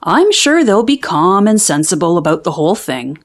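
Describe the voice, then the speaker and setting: A woman's voice: lowish voice, sing-song, moderate pace, pitched below the performer's own voice. A woman voicing an easygoing, reassuring father bear in a storybook.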